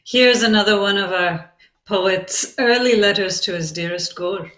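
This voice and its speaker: A woman playing a reflective modern Bengali narrator, telling a poet's life in measured, expressive scripted narration. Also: mid-range voice, natural voice. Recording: echoey, roomy sound.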